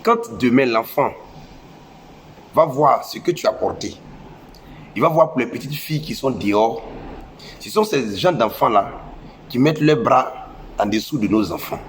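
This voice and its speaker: A young man speaking French with a West African accent and a head cold delivers a preachy, scolding lecture to parents. He hammers his points by repeating phrases, at an ordinary male pitch. A faint hiss of noise sits under the voice. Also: animated, unhurried, pauses often, rough recording. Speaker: social media commentator. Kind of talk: impassioned moralizing monologue.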